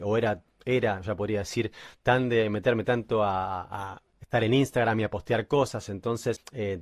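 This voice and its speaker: A man's voice: medium pitch, dry recording, clean studio sound. A man in his forties with a Buenos Aires accent answering interview questions conversationally, hesitating and repeating words as he thinks aloud. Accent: Buenos Aires Argentine. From Buenos Aires, Argentina. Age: 40s. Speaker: guitar teacher and musician interviewed as a client.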